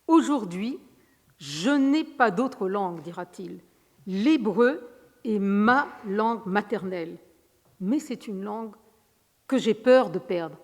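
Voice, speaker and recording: A woman's voice: mid-range voice, darkish tone. Woman lecturing in a reverberant hall. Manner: measured, slightly hesitant delivery with fillers. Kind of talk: academic lecture reading from notes.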